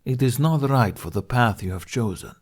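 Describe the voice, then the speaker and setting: A man's voice: medium pitch, animated, normal speed, voice pushed up. A man voicing a stern, earnest older Hungarian archery mentor in an audiobook, with solemn, formal phrasing. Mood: solemn, insistent.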